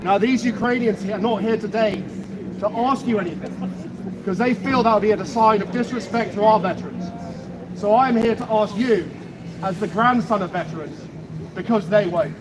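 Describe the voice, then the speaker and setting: A man with a British accent giving a measured, rhetorical public speech as a guest speaker outdoors. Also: high voice. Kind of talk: public speech to a gathered crowd. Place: outdoors in an urban street. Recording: noisy outdoor street ambience.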